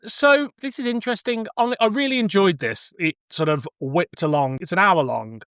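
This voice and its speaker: A man's voice: high voice, moderate pace, a bit bright, clean tone, no room sound. Blunt, informal man in a dry recording. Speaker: podcast co-host reviewing audio drama. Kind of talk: casual review discussion.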